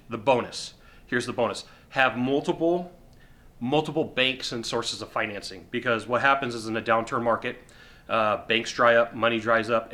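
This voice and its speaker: A middle-aged man giving sales advice straight to the camera from a sofa indoors, emphatic and repetitive, with quick acted-out asides. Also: mid-range voice, pushed and bright. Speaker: sales coach. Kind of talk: direct-to-camera advice talk. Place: indoor living room on a sofa.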